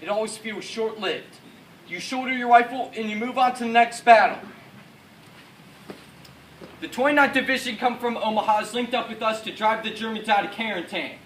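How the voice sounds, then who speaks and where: High-pitched earnest paratrooper officer, acted by a man. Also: moderate pace, a bit bright. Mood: solemn, resolute. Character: male American WWII paratrooper officer, resolute, recalling battle. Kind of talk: rehearsed dramatic war monologue. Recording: distant echoey room, faint noise.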